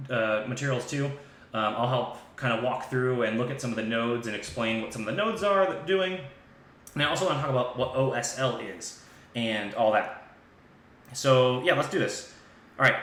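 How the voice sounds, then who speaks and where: A man hosting a live software tutorial, talking informally and thinking aloud as he demonstrates on screen. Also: medium pitch. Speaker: live stream host and software instructor. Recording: echoey room with faint background noise.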